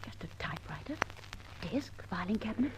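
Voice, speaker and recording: An actress playing an eager, curious young sidekick in an old-time radio detective serial. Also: female voice, mid-range voice, animated, a little fast, close to an everyday voice. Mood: hushed, nervous. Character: plucky, curious young female sidekick to a gentleman sleuth. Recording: noisy old radio recording.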